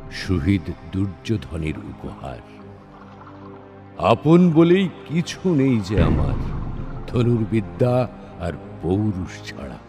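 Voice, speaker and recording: Proud, generous epic warrior male actor, measured dramatic recitation, slow delivery, faint music underneath. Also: lowish voice. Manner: measured, declamatory, formal. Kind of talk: dramatic Bengali verse recitation. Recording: studio voice over soft music.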